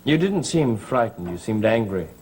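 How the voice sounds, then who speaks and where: A man's voice: lowish voice, very animated, normal speed, a little mellow, a little hiss or hum. An actor playing a skeptical man in a gothic household, speaking in a dry, challenging way. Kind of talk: 1960s gothic soap opera acting. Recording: old TV soundtrack with clear background score.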